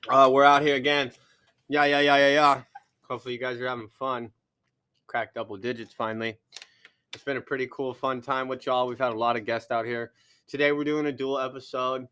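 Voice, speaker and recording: A man's voice: medium pitch, flat delivery, brisk, pushed and bright, clear, resonant tone. Young male podcast host, casual and rambling, studio chat. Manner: laid-back, rambling with fillers. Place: recording studio.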